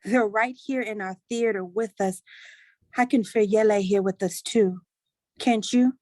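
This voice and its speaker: A woman acting a gentle, pleading grown daughter in a staged reading of a play. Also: mid-range voice, steady tone, measured pace, dry recording, natural voice. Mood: earnest, hopeful.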